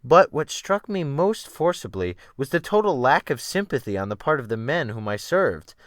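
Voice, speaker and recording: A man narrating an audiobook as a dramatic reading of classic fiction, with theatrical, deliberate phrasing. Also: mid-range voice, sing-song, no room sound, studio quality.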